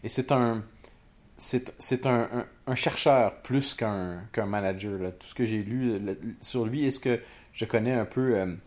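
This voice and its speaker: A man, co-hosting a casual tech podcast. He speaks haltingly and often tags his phrases. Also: mid-range voice. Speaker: podcast co-host.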